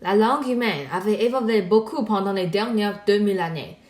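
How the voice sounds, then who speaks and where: A woman's voice: mid-range voice, brisk, a little mellow. Young woman, upbeat school presentation, kinda echoey room. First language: Mandarin Chinese. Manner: upbeat, with playful exclamations. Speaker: student presenter.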